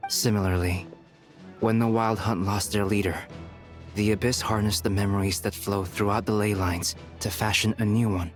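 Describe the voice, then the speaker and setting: An actor playing a learned scholar who explains things calmly, like a lecture. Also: male voice, fairly low pitch, normal speed, faint music behind, natural voice. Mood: lecturing.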